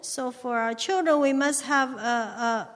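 A woman interpreting a sermon live, halting now and then while she translates. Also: high-pitched, a bit bright. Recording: echoey hall.

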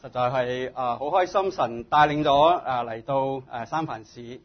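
A man preaching as a guest in short phrases, speaking humbly and haltingly in his native tongue. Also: mid-range voice. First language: Cantonese. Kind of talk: sermon delivered in short phrases for interpretation. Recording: dull, muffled lo-fi sound.

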